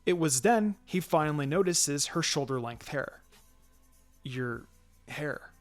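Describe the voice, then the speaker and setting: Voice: medium pitch, expressive, moderate pace. A narrator reading a fan fiction story aloud in a storytelling style, acting out the dialogue. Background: background music.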